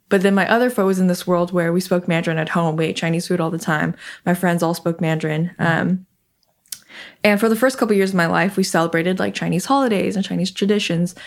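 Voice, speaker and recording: Gravelly-voiced young woman, thoughtful. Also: lowish voice. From Seattle area, United States. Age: young adult. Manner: reflective, lots of ums and soft laughs. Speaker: interview guest. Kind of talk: casual conversation.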